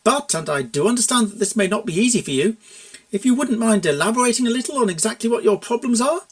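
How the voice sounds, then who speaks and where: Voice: mid-range voice, expressive, fast talker, voice pushed up, natural voice. A performer voicing a suave, middle-aged cartoon stallion consultant with polite, pompous, flowery speech in a story reading. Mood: courteous, businesslike.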